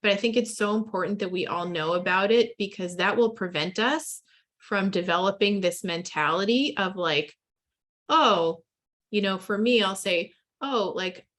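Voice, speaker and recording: A woman's voice: medium pitch, moderate pace, fairly bright tone. A woman guides a group discussion for medical residents. She speaks casually and thinks aloud, circling back on her words as she explains. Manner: conversational, hedging with "like" and repeats. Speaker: lecturer.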